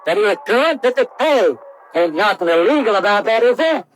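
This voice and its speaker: A man playing a defensive, excitable pier worker under questioning, in 1950s radio drama style. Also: high-pitched, fairly quick. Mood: defensive, nervous. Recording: noisy vintage radio recording.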